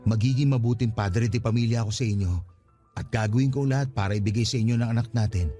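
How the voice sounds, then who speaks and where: A man's voice: medium pitch, steady tone, fast, dark tone, close to an everyday voice. Man acting an earnest, anxious young father. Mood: earnest, pleading. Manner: earnest, conversational, questioning. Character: earnest, anxious young father proposing to his estranged partner. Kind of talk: Tagalog radio drama acting. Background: music bed.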